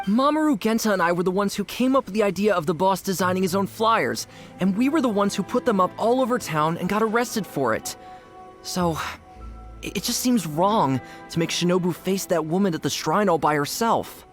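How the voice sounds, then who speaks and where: A timid young gang member from a video game, played by a woman, talks earnestly and haltingly. The voice is middling in pitch for a woman, with faint music behind it. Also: animated, natural voice. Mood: guilty, earnest. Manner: hesitant, stumbling. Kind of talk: scripted video game voice acting.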